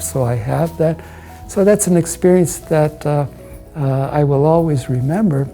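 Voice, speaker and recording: Mellow, creaky-voiced American man. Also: on the high side, rough recording. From United States. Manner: measured, halting delivery in short phrases. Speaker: hotel owner and investor. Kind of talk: promotional interview, personal recollection. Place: indoors, hotel suite.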